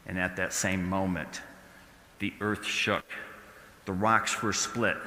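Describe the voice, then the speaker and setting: A man playing a solemn, awed Roman guard in a church dramatic reading, delivered deliberately with pauses. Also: fairly low pitch, bright, forceful tone. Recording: echoey church hall with long reverb.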